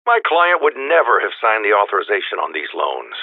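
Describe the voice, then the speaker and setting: An actor playing a theatrical, formal lawyer in a video game, heard through an old telephone filter. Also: male voice, fairly low pitch, huge pitch swings, brisk, dry recording, full character voice. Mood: guarded, curt. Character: excitable, theatrical lawyer looking after his client. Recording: narrow, tinny telephone line.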